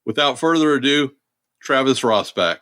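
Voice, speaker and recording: A man in his forties hosting a podcast, reading a guest intro and then chatting in a steady way. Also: medium pitch, moderate pace, no room sound, studio quality. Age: 40s. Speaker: podcast host.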